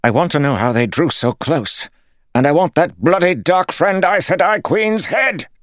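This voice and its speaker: A man's voice: a little high, normal speed, dry recording, clean studio sound, pitched above the performer's own voice, lightly acted. A male narrator voicing a crazed, furious noble war commander, snapping and imperious, in a fantasy audiobook. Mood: furious, demanding.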